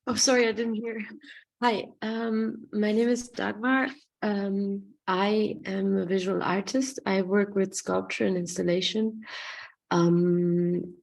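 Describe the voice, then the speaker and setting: Brief: woman artist, creaky gravelly voice, slow pace, pauses between phrases. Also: mid-range voice, a little mellow. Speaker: visual artist. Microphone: video call.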